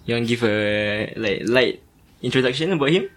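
Young male co-host, mid-pitched voice, loose and halting casual chat. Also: lively intonation, a bit bright. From Singapore. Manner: halting and loose, with many restarts. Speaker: podcast co-host.

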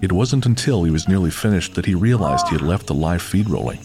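Very low-voiced male horror narrator. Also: lively intonation, fast talker, over loud music. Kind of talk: scripted horror audio drama narration.

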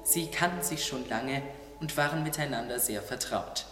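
Storybook narrator, scripted audio drama narration, echoey, loud music. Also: medium pitch, normal speed. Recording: echoey, long reverb, under loud music.

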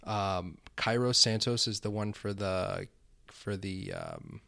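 A man co-hosting a casual sports podcast, easygoing and halting, often restarting his sentences. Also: low-pitched, huge pitch swings, creaky, bright, forceful tone, no echo, clean studio sound. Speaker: podcast co-host.

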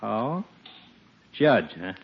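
A man's voice: lowish voice, big pitch swings, very slow, gravelly, husky, full character voice. A man playing a folksy, wisecracking, easily hurt barber in a 1940s radio comedy. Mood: hurt, wheedling. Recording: muffled 1940s radio recording.

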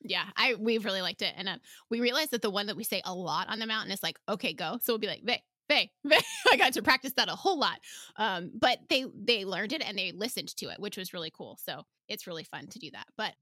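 A woman's voice: medium pitch, animated, fast, pushed and bright, no room sound, studio quality. A woman hosting a podcast interview in a casual, informal way, often checking in with "right?". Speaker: podcast host.

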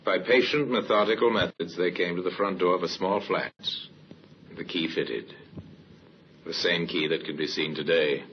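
An actor narrating a vintage radio mystery as a grave, ominous storyteller, measured and dramatic. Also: male voice, deep voice, natural voice. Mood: grave, portentous. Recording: dull vintage radio recording. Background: dramatic music.

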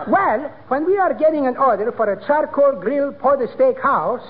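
An actor playing an excitable Greek restaurant owner with a Greek accent and fractured English, in 1940s radio comedy style. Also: male voice, very high voice, moderate pace. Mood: earnest, comic. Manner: malapropisms, fractured grammar. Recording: muffled noisy old radio, studio audience.

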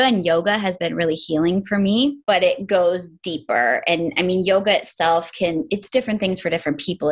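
Woman in her forties, slightly low pitch, reflective, casual interview guest, lots of "like". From upstate New York. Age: 40s. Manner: reflective, many "like" fillers. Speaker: guest, yoga and self-love teacher.

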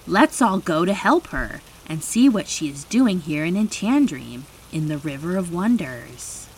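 A woman voicing a kind, eager little fairy princess in a children's storybook, gentle, airy and reassuring. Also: lowish voice, sing-song, measured pace, a little hiss or hum, voice pushed up, poor audio.

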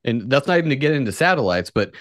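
A man's voice: mid-range voice, big pitch swings, fast, a bit bright, no echo, studio quality. A man co-hosting a podcast, chatting casually in a blunt way with some swearing. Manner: blunt, profane. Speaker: podcast co-host. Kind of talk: casual chat.

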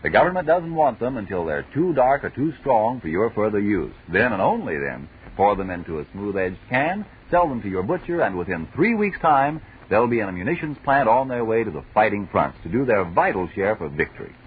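A man's voice: on the low side, big pitch swings, fairly quick, few pauses, husky. An American man working as a 1940s radio announcer, with a polished, emphatic sales delivery. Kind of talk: 1940s radio advertising and banter. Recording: muffled, phone-like 1940s radio recording.

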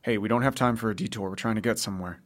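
An actor playing a gruff, impatient adult man who speaks bluntly in an audio drama. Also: on the low side, rapid-fire, lightly acted.

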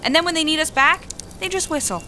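An American actress playing a young, cynical warehouse picker, wry and argumentative, in a naturalistic audio drama. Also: female voice, high voice, fast, sharp tone, slight background noise. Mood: bitter, impassioned.

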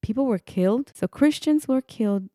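A woman's voice: on the high side, animated, moderate pace, dry recording, clean studio sound. A middle-aged woman from Italy with an Italian accent, telling personal stories with feeling in a casual faith chat. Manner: emotional, personal storytelling with warm affirmations.

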